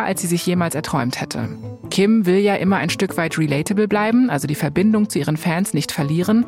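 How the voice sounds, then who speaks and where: A woman's voice: low-pitched, a little mellow. A young woman hosting a podcast, telling a scripted story in a colloquial, wry way with casual asides and bits of English slang. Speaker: podcast host. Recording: music bed behind the voice. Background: music.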